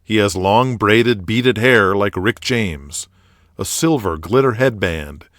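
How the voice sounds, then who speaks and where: A man's voice: fairly low pitch, slow pace, a bit bright, dry recording, studio quality. A man narrating an audio drama in a dramatic, scripted storytelling style.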